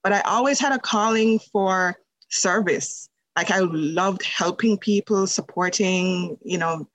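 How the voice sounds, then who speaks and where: A woman's voice: mid-range voice, a little slow, a bit bright, dry recording. A woman from Jamaica, a holistic nutrition practitioner, telling her story as a podcast guest in a conversational way, with many fillers. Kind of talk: casual interview storytelling.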